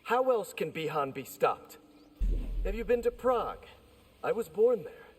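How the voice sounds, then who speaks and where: A man's voice: high-pitched, sing-song, measured pace, a little mellow, long reverb tail, slight background noise. An actor voicing an earnest, assured ninja clansman in a fighting game.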